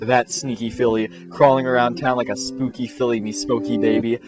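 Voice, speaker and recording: Male comic narrator, mid-pitched, mock-epic storytelling with offhand asides, music bed. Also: level tone, a little fast. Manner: mock-dramatic, casual asides. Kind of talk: comic fan fiction storytelling.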